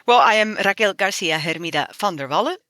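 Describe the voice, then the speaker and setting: A woman's voice: medium pitch, animated, fairly quick, pushed and bright, no room sound, soft background music. A middle-aged woman from Spain, a member of the European Parliament, explaining her views earnestly in an interview. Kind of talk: interview answers.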